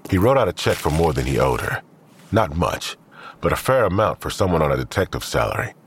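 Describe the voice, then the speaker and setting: Very deep-voiced male drama narrator. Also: very animated, fairly quick, a little hiss or hum. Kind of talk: scripted audio drama narration.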